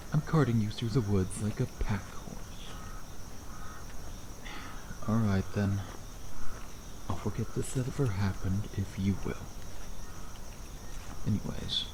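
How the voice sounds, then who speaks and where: Man playing a low-voiced teasing vampire, crickets behind. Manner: sardonic, playful banter with pet-name teasing. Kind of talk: ASMR roleplay acting, addressing the listener. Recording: noisy outdoor ambience with crickets.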